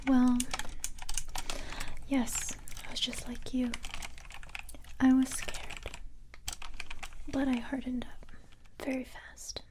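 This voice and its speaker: A woman doing a soft-spoken, whispered ASMR roleplay as a calm, encouraging police recruiter, gentle and hesitant, close to the microphone. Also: high voice. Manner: gentle, hesitant, unhurried reassurance.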